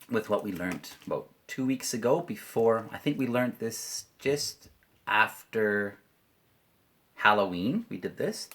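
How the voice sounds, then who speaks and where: A Canadian man teaching an online English lesson to children, playful, leading chants slowly and clearly. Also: lowish voice.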